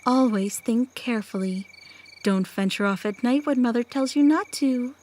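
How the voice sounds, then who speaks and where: A woman playing a mysterious storyteller who tells eerie tales to children in a theatrical, hushed, suspenseful way in an audio play. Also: fairly high pitch, normal speed, close to an everyday voice. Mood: solemn, cautionary.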